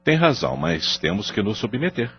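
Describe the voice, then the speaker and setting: A man's voice: on the low side, big pitch swings, normal speed, breathy edge, muffled recording, soft background music, exaggerated voice. An actor with a Brazilian accent playing a contrite, earnest husband and father in an audio drama. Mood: earnest, defensive.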